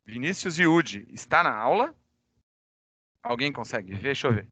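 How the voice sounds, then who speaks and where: Bright-voiced Brazilian man teaching, with a cold. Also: mid-range voice, lively intonation, normal speed, slightly rough, no echo. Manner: informal, conversational, addresses students directly. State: recovering from a cold. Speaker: high school physics teacher. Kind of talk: informal online class announcements. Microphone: microphone.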